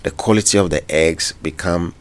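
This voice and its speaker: A man with a Ghanaian English accent, a doctor answering listeners' health questions on radio in a measured, explanatory way. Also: low voice, clear, resonant tone. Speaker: medical doctor guest.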